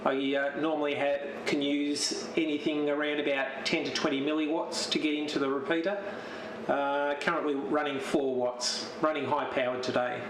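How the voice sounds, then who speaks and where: An Australian man with a slightly high voice gives an informal, unscripted tour of his hobby setup. He speaks haltingly, in short descriptive pieces. The sound is roomy, with clear noise behind him. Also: little pitch movement. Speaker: amateur television operator. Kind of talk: informal show-and-tell narration. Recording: roomy, noisy radio-transmission audio.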